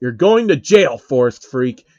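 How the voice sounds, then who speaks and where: A man's voice: medium pitch, very animated, unhurried, darkish tone, dry recording, dull audio. A man voicing a cocky young male swordsman hero with a casual, defensive manner in a fan fiction story. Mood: accusing.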